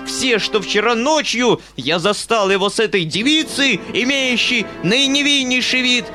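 Pompous self righteous preachy man for an audiobook, highish voice, drags his words out. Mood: shrieking indignation. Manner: haughty, preachy, theatrical, repetitive. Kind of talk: dramatic audiobook character acting.

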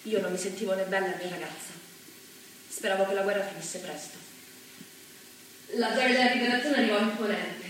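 An actress playing a young woman from the war years, telling her story in a quiet, reflective way. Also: mid-range voice, a little fast, pauses often, natural voice. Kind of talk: theatrical narration. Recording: echoey stage from far off, faint hiss.

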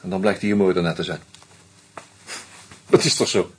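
An actor in a radio play voicing a shrewd, sardonic male investigator who lays out his case deliberately. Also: low voice, steady tone, noisy background, lightly acted. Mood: cool. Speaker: detective confronting a killer. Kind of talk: radio drama acting.

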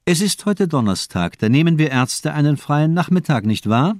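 An actor playing a cold, cynical doctor villain in a radio crime drama, speaking eloquently with mocking elegance. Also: male voice, fairly high pitch, lively intonation, dry recording, studio quality, natural voice. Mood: coldly amused. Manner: eloquent, playful, mocking, deliberate monologuing.

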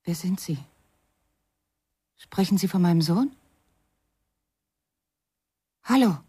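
A wary, anxious mother in a radio crime drama. She is middle-aged, with a dark, husky voice, taking a telephone call in a dry space. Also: fairly low pitch, expressive, slow pace, no room sound, full character voice. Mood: alarmed, wary.

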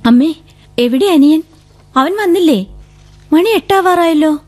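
An actress playing a young woman who writes poems and has a law degree, soft-spoken and reluctant. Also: very high voice, lively intonation, measured pace. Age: young adult. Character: dreamy young woman, law graduate, poet. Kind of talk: radio drama acting. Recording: vintage radio broadcast with music.

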